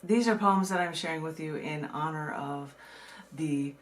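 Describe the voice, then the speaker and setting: A woman poet from the United States reading her own work and talking casually about it, in a measured way with dramatic pauses. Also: low voice. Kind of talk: poetry reading with casual asides.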